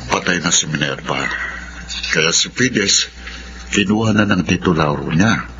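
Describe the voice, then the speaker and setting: A man's voice: deep voice, measured pace. A man acting a kindly, indulgent middle-aged father in a Filipino radio drama. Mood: somber. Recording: dull lo-fi audio with clear noise.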